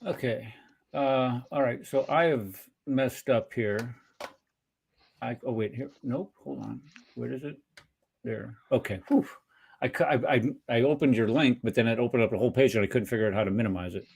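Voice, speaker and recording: A man's voice: medium pitch, normal speed, vocal fry, a little mellow, husky. Easygoing man hosting a chat. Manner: easygoing, chatty. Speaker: host. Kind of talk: casual online discussion.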